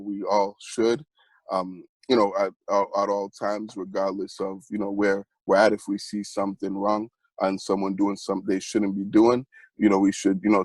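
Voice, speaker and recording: A man's voice: on the low side, no room sound, low-quality recording. A young man co-hosting a sports podcast, talking casually with many fillers and restarts. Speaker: podcast co-host.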